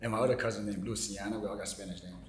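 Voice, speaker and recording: A man's voice: on the low side, fairly even intonation, rapid-fire, reverberant, a little hiss or hum. A man telling his story in casual podcast chat, rambling with lots of "you know what I'm saying". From born in Argentina, raised in Maryland. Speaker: guest artist.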